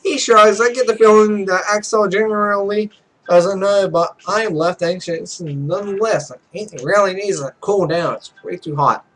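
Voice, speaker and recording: A man's voice: high voice, normal speed, a bit bright, poor audio. A man hosting a gaming video, reading a visual novel aloud in a theatrical way with stumbles and asides.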